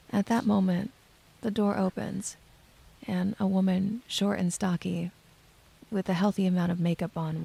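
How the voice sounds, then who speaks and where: Woman acting a gravelly, soft-voiced lonely young woman. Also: mid-range voice, full character voice, low-quality recording. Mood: subdued, tearful. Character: lonely, shaken young woman patient narrating her own story. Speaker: narrator and lead character. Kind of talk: first-person audio drama narration.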